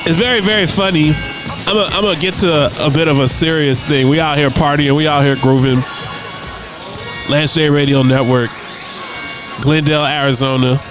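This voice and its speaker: A man's voice: a little high, fairly even intonation, moderate pace, long pauses. A man hosting a casual internet radio show, talking in a laid-back way and often repeating words as he thinks aloud. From United States. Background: music playing.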